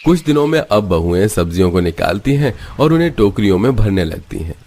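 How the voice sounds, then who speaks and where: A man's voice: on the low side, very animated, a little fast, dark tone. A man reading scripted narration for a cartoon story.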